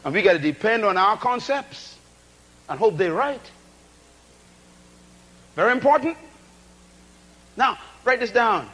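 Man preaching in a really high voice, pitch jumping all over, repeats himself and asks the room to talk back. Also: expressive, unhurried, pauses often. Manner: call-and-response, rhetorical questions, emphatic repetition; swings between shouted emphasis and low asides. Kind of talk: sermon.

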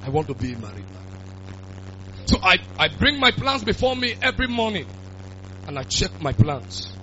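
A man, preaching a sermon with loud bursts of emphasis. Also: on the high side, wildly swooping pitch, normal speed, slight background noise. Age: 20s. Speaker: pastor. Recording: dull lo-fi sound with music.

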